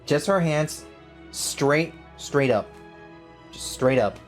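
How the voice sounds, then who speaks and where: A woman's voice: deep voice, animated, slow, soft background music. A woman giving casual, joking, slangy let's play commentary as she reacts to a game. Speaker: gaming video host.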